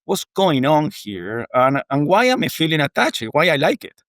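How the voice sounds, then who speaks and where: A man's voice: on the high side, very animated, normal speed, fairly bright tone, no echo, studio quality. A middle-aged man from Argentina, a native Spanish speaker with an Argentine accent, speaking earnestly and reflectively as an interview guest. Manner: earnest, reflective, frequent "you know". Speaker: guest, water technology executive. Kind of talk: conversational interview.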